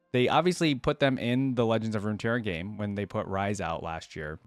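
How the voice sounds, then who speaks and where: A man's voice: on the low side, no echo. A man giving casual commentary to viewers as a reaction host, thinking aloud and wandering off on digressions. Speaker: video creator, reaction host.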